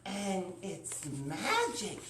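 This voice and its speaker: A woman's voice: medium pitch, wildly swooping pitch, extremely slow, fairly bright tone, voice pushed up, exaggerated voice. A woman voicing a sensible, chirpy female storybook character who gives nagging, matter-of-fact advice in a lilting voice. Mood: excited, puzzled. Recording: roomy, distant mic with faint noise.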